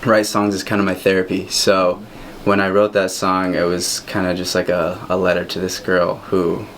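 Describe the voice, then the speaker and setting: Low-voiced young man chatting casually. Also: monotone, moderate pace, fairly bright tone. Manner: laid-back, slangy. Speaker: guest singer-songwriter. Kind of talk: casual chat. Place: local radio studio. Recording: faint background noise on live radio.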